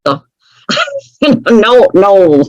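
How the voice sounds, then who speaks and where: A woman's voice: medium pitch, animated, very slow, darkish tone, dry recording, dull audio. A woman telling a personal story as an interview guest, chatty and wry, laughing often at her own remarks. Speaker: guest author.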